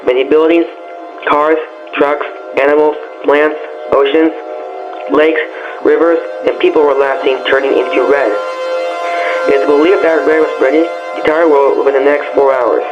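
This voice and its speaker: A man's voice: fairly high pitch, steady tone, normal speed. Dull lo-fi male emergency alert announcer. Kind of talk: scripted emergency broadcast announcement. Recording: dull lo-fi broadcast, loud music behind.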